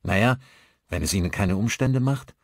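A man reads the part of an inquisitive male newcomer in an audiobook. He sounds polite and casual, in a fairly low voice with a dry sound. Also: moderate pace, no echo, natural voice. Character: polite, inquisitive man new to village life.

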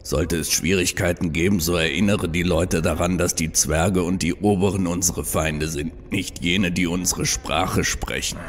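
An actor playing a gruff, suspicious male troll searching for his missing wife in a German fan audio drama. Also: deep voice, steady tone, normal speed, hardly any pauses, close to an everyday voice. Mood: commanding. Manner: brusque, distrustful of humans.

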